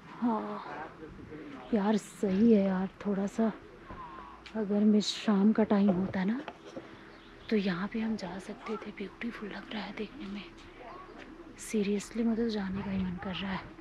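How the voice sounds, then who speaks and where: A woman in her thirties excitedly narrating a travel vlog while walking a sunny trail, holding the camera at arm's length. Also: medium pitch, takes it slowly, pauses often, a crowd in the background. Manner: chatty and excitable, pointing out sights. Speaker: travel vlogger. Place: outdoors on a sunny volcano hiking trail. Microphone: camera held at arm's length. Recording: dull outdoor phone audio with wind noise.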